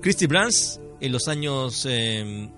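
A man with a Chilean accent hosting radio, giving an ad-libbed introduction in a hesitant, deliberate way with frequent tag questions. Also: medium pitch, lively intonation. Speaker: radio host. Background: music.

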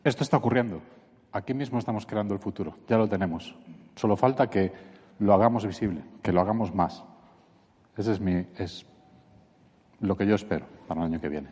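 A man's voice: fairly low pitch, brisk, pauses often, breathy edge. A man from Barcelona with a Spanish accent, giving a conference talk and acting out quotes in a mocking voice. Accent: Spanish from Catalonia. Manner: animated, acts out quotes in a mocking voice. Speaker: conference speaker. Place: conference hall. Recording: echoey conference hall.

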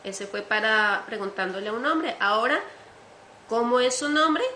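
A woman teaches vocabulary in a fairly high voice. She delivers short phrases one by one in an instructional way, recorded in an echoey space with slight background noise. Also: expressive, moderate pace, sharp tone. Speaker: language teacher. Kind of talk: vocabulary lesson, reading phrases for repetition. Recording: roomy echo with background noise.

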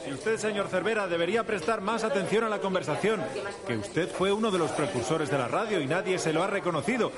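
An actor playing a proud, courteous physicist who lectures, in a comic radio play. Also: male voice, sits high, animated, fast, over a noisy crowd. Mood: courteous, pointed. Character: lecturing male German physicist Hertz, proud but courteous. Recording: loud music under the voice.

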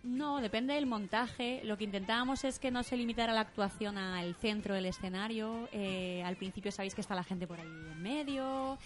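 Animated woman in a radio studio chat. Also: mid-range voice, normal speed, few pauses, faint music behind. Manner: animated, laughs often, restarts mid-sentence. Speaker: production manager of a theatre group. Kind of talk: casual radio interview chat.

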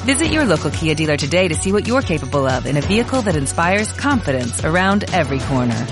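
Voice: mid-range voice, very animated, a little fast, music in the background. Polished car ad announcer. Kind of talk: car commercial voice-over.

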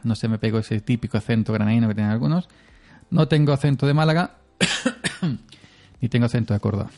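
A man's voice: mid-range voice, a little mellow. A man from Andalusia with an Andalusian Spanish accent, chatting casually as a podcast co-host, rambling and chuckling often. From Andalusia, Spain. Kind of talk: casual chat.

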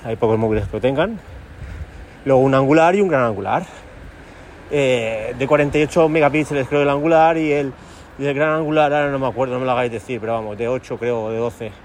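A man's voice: mid-range voice, darkish tone. A man with an accent from Spain chatting casually as a podcast host while out walking, in a rambling, hesitant way as he searches for words. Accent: Spain Spanish. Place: outdoors, walking in town. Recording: outdoor street and vehicle noise.

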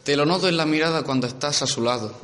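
A young man acting a gentle, loyal teenage boy who pines for his friend, in an amateur radio soap. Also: fairly high pitch, fast talker, slight background noise, close to an everyday voice. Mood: wistful. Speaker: student radio drama actor. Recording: reverberant amateur school recording.

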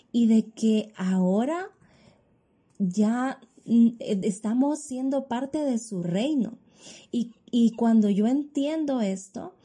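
Woman, Honduran accent, host, preaching-style reflection, fairly high pitch, very mellow tone. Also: husky. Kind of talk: faith talk show reflection.

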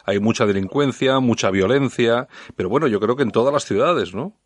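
A man's voice: mid-range voice, a little fast, dry recording. A man in his fifties from Bilbao hosting a talk radio discussion, rambling and correcting himself as he goes. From Bilbao, Spain. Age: 50s. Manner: rambling, self-correcting, laughs easily. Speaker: host.

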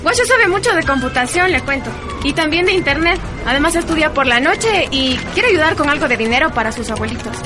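Casting: woman as chirpy helpful young woman, high quick speech, Ecuadorian accent, polite and eager radio-serial style, music behind. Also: expressive. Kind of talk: naturalistic radio drama dialogue.

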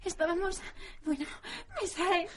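A woman's voice: extremely high pitch, fairly even intonation, a little slow. A woman playing a sweet, naive teenage schoolgirl in an improvised manga-parody radio comedy, whiny, timid and melodramatic. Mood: shy, flustered.

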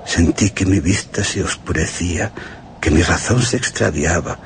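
A low-voiced man relives a night of supernatural horror. His delivery is tense and hushed, each phrase weighted with dread. A steady hiss of noise sits under the voice. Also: normal speed. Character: terrified man narrating his own dread. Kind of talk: radio drama reading.

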